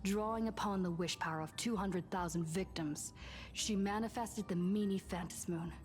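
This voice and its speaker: A woman playing a composed young game heroine, narrating past events in a measured, serious scripted style. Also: medium pitch, natural voice. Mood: solemn, measured. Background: music.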